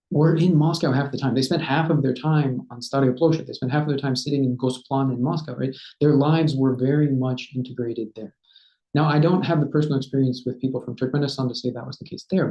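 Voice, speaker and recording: A man in his thirties talks through history like a lecturer, steady and methodical, pausing on "uh" and tagging points with "right?". His voice is mellow and low-key. The webcam sound is dull and roomy, as from a study on a video call. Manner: measured lecturing with frequent "uh" and "right?". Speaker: historian, guest speaker. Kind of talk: academic talk answering a question. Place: home study with bookshelves. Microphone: laptop webcam on video call.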